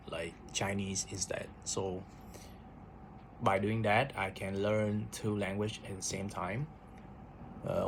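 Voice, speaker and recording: Brief: lowish-voiced man, halting vlog chat, faint hiss behind. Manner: hesitant, repeats words, many fillers. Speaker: vlogger. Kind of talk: casual spoken diary.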